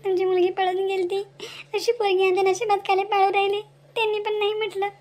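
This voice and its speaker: A woman's voice: very high-pitched, monotone, fast talker, darkish tone. A woman voicing an anxious, aggrieved village mother in an amateur cartoon melodrama, pleading plaintively in a Varhadi Marathi accent.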